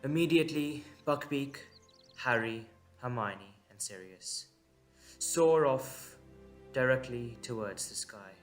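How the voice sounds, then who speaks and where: A man narrating a dramatic story in short, measured, suspenseful phrases. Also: mid-range voice, faint music behind.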